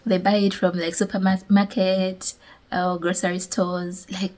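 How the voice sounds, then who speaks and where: A young woman from Uganda, chatting casually and telling animated stories as a vlogger, with a husky voice. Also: on the low side, a little slow. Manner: animated storytelling with laughs. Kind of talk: casual chat.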